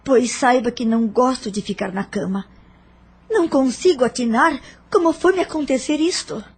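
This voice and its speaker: A woman's voice: high voice, moderate pace, slightly rough. A woman playing a proud, dramatic female patient in pain in an audio novel. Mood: mocking, petulant. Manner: mocking, dramatic. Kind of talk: audio novel character acting.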